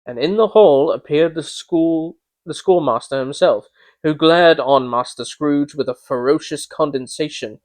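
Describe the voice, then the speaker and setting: A man gives a dramatic reading of a classic tale. His voice sits a little high for a man. The recording is close, with very little room sound. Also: measured pace, a little mellow, no echo. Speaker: amateur reader of a classic story. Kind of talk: dramatic storybook narration.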